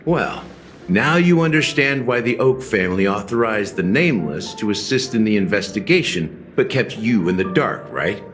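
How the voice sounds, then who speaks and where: Deep-voiced, cynical detective guide. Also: male voice, sing-song, moderate pace, fairly bright tone. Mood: knowing, probing. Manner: theatrical, rhetorical, expository. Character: worldly, cynical male detective guide, knowing and deliberate. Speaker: game character voice actor. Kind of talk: scripted video game dialogue. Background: music.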